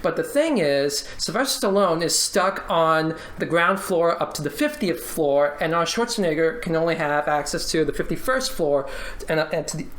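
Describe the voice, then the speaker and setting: A man's voice: fairly high pitch, moderate pace, a bit bright. A man talking casually among friends on a podcast. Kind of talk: casual, halting movie pitch among friends. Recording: echoey room.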